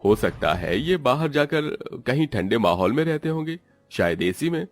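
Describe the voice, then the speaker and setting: A man playing a calm, grave doctor who explains in a measured manner in a cartoon story. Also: medium pitch, no room sound, faint music behind.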